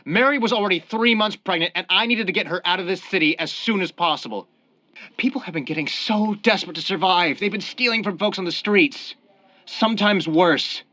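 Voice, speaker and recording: Guy acting desperate young mob killer in a radio crime drama, tense sharp voice, earnest, dry sound. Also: high voice, a little fast, no room sound. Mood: desperate, heated. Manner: earnest, defensive, pleading. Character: desperate, cornered young family man turned mob killer.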